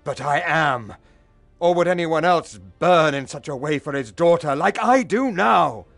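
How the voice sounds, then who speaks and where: A proud old patriarch, with a fairly high male voice and very animated, emphatic delivery. He has the fiery dignity of a fantasy game lord, over faint music. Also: measured pace, vocal fry, dry recording, heavily acted. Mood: indignant. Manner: formal, emphatic. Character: proud, hot-tempered old father and clan leader.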